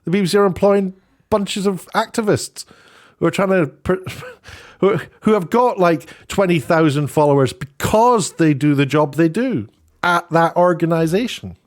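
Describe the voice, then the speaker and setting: A man giving unscripted opinion commentary as an online host, rambling and often correcting himself as he goes. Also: high voice. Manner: halting, rambling, self-correcting.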